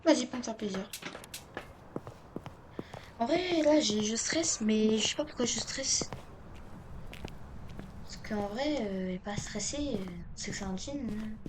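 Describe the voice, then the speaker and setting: A woman's voice: on the high side, a little slow, long pauses. A woman giving casual video game commentary, thinking aloud to herself and often repeating her phrases. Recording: clear background noise, game ambience.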